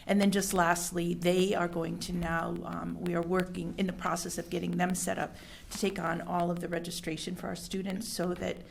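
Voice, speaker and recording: Gravelly-voiced woman administrator. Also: lowish voice, flat delivery, moderate pace, hardly any pauses, a little hiss or hum. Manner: enthusiastic, many fillers. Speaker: school district administrator. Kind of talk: meeting presentation.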